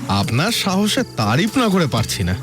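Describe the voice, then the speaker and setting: An easily thrilled, romantic guest speaks in a lively, highish voice. He savours his audio drama lines effusively, with music playing clearly behind him. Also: normal speed. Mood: admiring, eager. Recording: music behind, chirping crickets.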